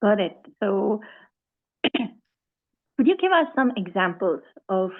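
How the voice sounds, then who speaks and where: A woman interviewer asking a guest a question in a slow, measured way. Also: fairly low pitch. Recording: tinny phone-like remote audio.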